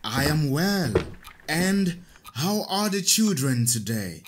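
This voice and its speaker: An actor playing a gracious, gentle African priest in an audio drama, with formal, courteous phrasing. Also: male voice, on the high side, very animated, takes it slowly, heavily acted. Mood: welcoming.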